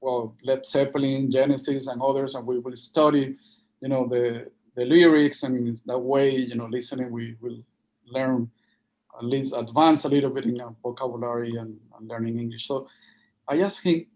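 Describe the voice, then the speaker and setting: A man with a strong Venezuelan Spanish accent talks over a video call. His voice is warm and dark, and he speaks haltingly, piling up fillers and restarts as he tells a story. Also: medium pitch, fairly even intonation, poor audio. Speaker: guest, NGO project leader. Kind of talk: livestream interview, storytelling.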